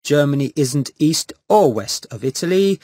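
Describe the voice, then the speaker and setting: A man reading answers for a textbook audio track, enunciating slowly and clearly. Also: fairly high pitch, lively intonation, a little mellow, no echo, studio quality. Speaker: recorded model speaker.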